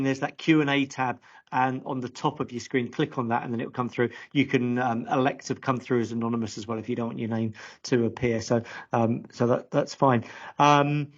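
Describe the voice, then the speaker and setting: A man hosting a live online session, conversational and a little tentative, rephrasing his questions as he goes. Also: medium pitch. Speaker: host of a live careers webinar. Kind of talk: conversational interviewing. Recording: dull lo-fi online call audio.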